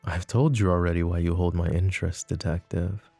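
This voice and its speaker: A man voicing a possessive, flirtatious mafia boss in an ASMR roleplay drama, with a low, soft, teasing drawl. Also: steady tone, a little fast, gravelly, no room sound, faint music behind. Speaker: voice actor.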